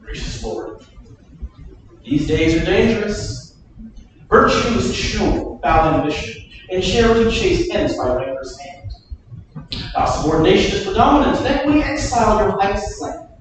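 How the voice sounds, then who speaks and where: A man reading a proud, wronged noble duke in a staged Shakespeare reading, with measured, declamatory verse. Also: high voice, animated. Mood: grieving. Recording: echoey hall, far from mic, faint noise.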